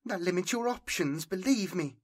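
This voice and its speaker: A man's voice: sits high, lively intonation, moderate pace, slightly rough, voice pushed up. A man voicing a distressed, defiant young woman refugee in an audiobook, speaking urgently in clipped phrases. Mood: bitter, confiding.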